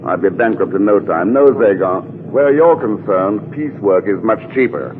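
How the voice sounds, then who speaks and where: An actor playing a cool, wry special investigator in a 1940s radio drama, dry and understated. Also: male voice, lowish voice, expressive, moderate pace, close to an everyday voice. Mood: dry, firm. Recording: tinny old radio recording.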